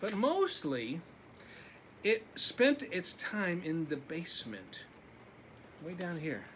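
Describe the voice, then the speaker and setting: A man's voice: high voice, huge pitch swings, takes it slowly, fairly bright tone, slight background noise. A man reading a picture book aloud as a teacher, with simple asides to his young listeners.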